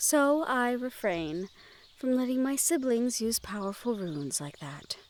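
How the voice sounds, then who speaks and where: A woman playing a gentle, well-bred young noblewoman in a fantasy audio roleplay, speaking in polite, measured phrases directly to the listener. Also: a little high, lively intonation. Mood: serene, thoughtful.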